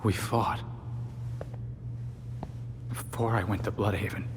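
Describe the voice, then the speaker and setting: A man's voice: lowish voice, steady tone, slow, background noise. An American actor playing an earnest, burdened young male hero with an awkward joking side in a video game. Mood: burdened, confessing. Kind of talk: naturalistic video game cutscene acting. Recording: reverberant hall, prominent music underneath.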